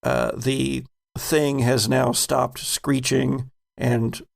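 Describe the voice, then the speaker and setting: Gravelly-voiced man running a game. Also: medium pitch, level tone, very slow. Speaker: game master. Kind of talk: improvised narration of a tabletop game.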